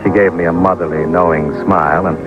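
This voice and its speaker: A man's voice: sits low, fairly even intonation, a little fast, over loud music, lightly acted. A male radio actor playing a hard-boiled insurance investigator, narrating his case in a dry, matter-of-fact way. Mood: dry, wry. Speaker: detective narrator. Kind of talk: old-time radio scripted narration. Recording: muffled, noisy old radio recording.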